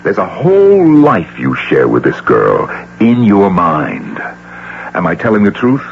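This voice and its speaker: A man's voice: low-pitched, huge pitch swings, slow, creaky, full character voice. An actor playing an earnest, concerned man who pleads insistently for hard truth, in old-time radio drama style. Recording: dull, noisy old radio broadcast.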